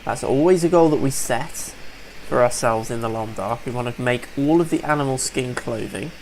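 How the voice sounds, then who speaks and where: A man's voice: medium pitch, normal speed, vocal fry, darkish tone, slight background noise, poor audio. A man giving casual, upbeat commentary over a survival game, a bit sniffly from allergies and chatting to viewers as folks. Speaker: let's play gamer.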